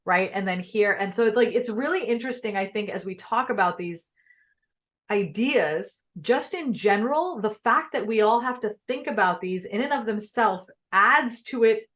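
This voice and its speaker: A woman's voice: mid-range voice, normal speed. A young adult woman from Pakistan, Urdu her first language, hosting a casual interview and talking candidly with lots of fillers. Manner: animated and candid, filler-heavy. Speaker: podcast host.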